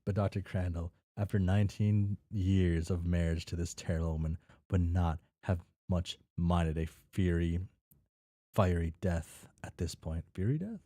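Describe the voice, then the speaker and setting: A man's voice: low-pitched, steady tone, a little slow, dark tone, no echo, studio quality. A man casually reading a story aloud with off-the-cuff commentary, tripping over and repeating words and swearing freely. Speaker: reader reacting to a fan fiction.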